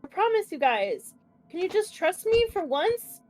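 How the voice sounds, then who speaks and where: A woman with a very high voice that swoops up and down plays a tabletop role-playing game. She speaks playfully, half in character and slowly, with music behind her. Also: big pitch swings, a little mellow.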